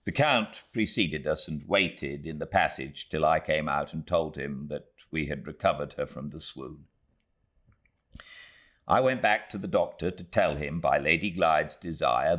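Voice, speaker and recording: A man with a British accent reading a classic novel aloud as an audiobook narrator, in a measured, expressive storytelling manner. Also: extremely low pitch. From Britain.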